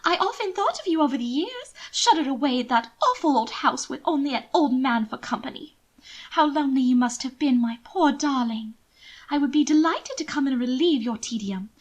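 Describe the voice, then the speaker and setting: Casting: female reader as a scheming young noblewoman, sugary and coaxing, high voice with sweeping melody, for storybook drama. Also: animated, normal speed. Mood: gushing, delighted. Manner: gushing, affected endearments, coaxing. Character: manipulative, doting upper-class young lady, twin sister. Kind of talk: audiobook character acting.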